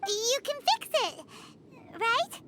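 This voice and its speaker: Squeaky, very high childlike fairy sidekick. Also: female voice, big pitch swings, takes it slowly, background noise, exaggerated voice. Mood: urging. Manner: chatty, refers to herself in third person, exclamatory. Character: small childlike fairy companion, perky, blunt, excitable. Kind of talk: video game voice acting.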